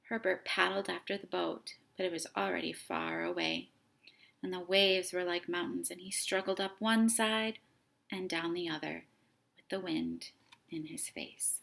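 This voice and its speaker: Expressive woman reading a children's story, bright voice. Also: on the low side, gravelly. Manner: dramatic, deliberate phrasing. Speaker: storytime host reading a picture book aloud.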